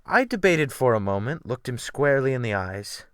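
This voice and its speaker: A man giving a theatrical, expressive first-person dramatic reading of a literary sea tale as an audiobook narrator. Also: mid-range voice, moderate pace, clean tone, no room sound, clean studio sound. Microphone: USB desktop microphone.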